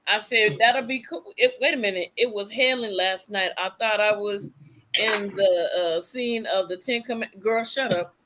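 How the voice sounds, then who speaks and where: A woman's voice: medium pitch, normal speed, noisy background. A woman hosting a livestream in casual chat, rambling and acting out what people said. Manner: chatty, rambling, acts out quotes. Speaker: livestream host. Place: desert home.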